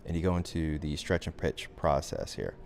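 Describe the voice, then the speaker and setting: Low voiced guy walking thru a software tutorial, casual and chatty, bit of noise behind him. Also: steady tone, moderate pace, vocal fry. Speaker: instructor. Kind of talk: software tutorial, talking through steps.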